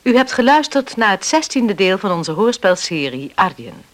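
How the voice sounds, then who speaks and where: A woman announces the credits of a radio drama from a script. Her pitch is middling and moves up and down a great deal. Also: big pitch swings, moderate pace.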